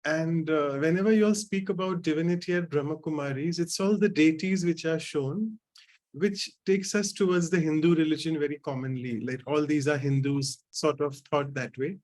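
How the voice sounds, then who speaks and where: A man hosts a workshop Q&A in a slightly high voice. He relays an audience question politely, with a few "uh" fillers, and keeps the session moving. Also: moderate pace, a little mellow. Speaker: moderator. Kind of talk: hosting a workshop Q&A.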